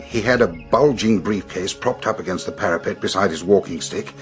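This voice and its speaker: Low-voiced British police superintendent played by an actor. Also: male voice, fairly quick, soft background music, close to an everyday voice. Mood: grave, intent. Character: grave male police superintendent recounting a strange murder. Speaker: actor in a radio detective play. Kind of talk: British radio drama acting, recounting events.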